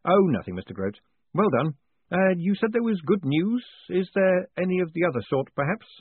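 A man voicing a brisk, cocky young conman turned postmaster who speaks firmly and breezily. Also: high-pitched, very animated, husky, no room sound, voice pushed up, exaggerated voice. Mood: breezy. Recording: tinny phone-like sound, dry.

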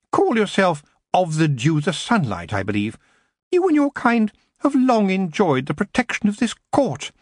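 A high, cold elf queen who talks down to everyone in scornful, imperious tones, as a male audiobook narrator puts her on. Her voice leaps and swoops with theatrical emphasis. The recording is dry and close. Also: husky, no echo, full character voice. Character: haughty cruel elf queen, woman, ageless.

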